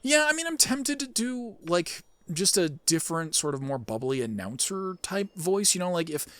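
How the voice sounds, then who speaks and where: A man with a fairly high, very animated voice talks through a game in a casual, rambling way. He thinks out loud as he goes, with clear music playing behind him. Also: moderate pace. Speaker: gaming video host and amateur voice actor. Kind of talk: casual gameplay commentary with read-aloud game text.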